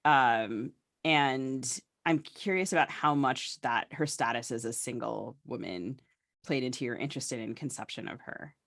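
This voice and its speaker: A man interviews a writer as a podcast co-host, with a medium-pitched voice and a very dry sound. He talks in a discursive, conversational way, building appreciatively toward his questions. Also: moderate pace, creaky, fairly bright tone, no room sound.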